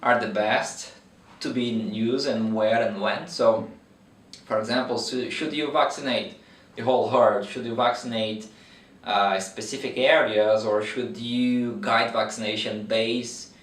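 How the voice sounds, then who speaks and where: A man's voice: fairly low pitch, fairly even intonation, measured pace, fairly bright tone, recorded from a distance. A man in his thirties from Brazil with a Brazilian accent, explaining his veterinary research in an interview with many fillers and restarts. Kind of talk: interview answers. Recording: roomy echo, mic from afar.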